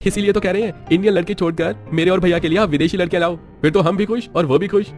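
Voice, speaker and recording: A man puts on a young brother in a cartoon story, pitched well up. He is a fussy food snob who talks in a whiny, condescending, mocking rush. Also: fairly even intonation, fast talker, darkish tone, soft background music. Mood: teasing. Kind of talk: cartoon moral-story acting.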